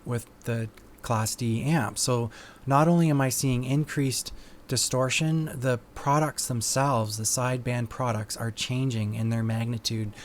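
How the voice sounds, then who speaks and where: A man's voice: mid-range voice, fairly even intonation, unhurried. Man explaining technical tests, noisy recording. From Canada. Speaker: audio engineer presenting measurement results. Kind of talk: technical explanatory talk over test results.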